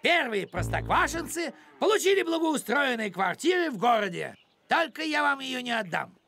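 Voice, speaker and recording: Cartoon old postman, stubborn, indignant, gravelly, lively swooping delivery. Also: extremely high pitch, moderate pace, faint music behind, full character voice. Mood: indignant, proud. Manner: officious, indignant. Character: fussy, stubborn elderly village postman. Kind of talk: animated cartoon voice acting.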